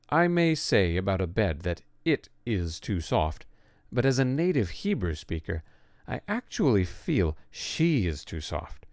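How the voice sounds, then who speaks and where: A man reading an essay aloud as scripted narration, in a measured, lively way with pointed emphasis on words. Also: medium pitch, creaky, dry recording, studio quality.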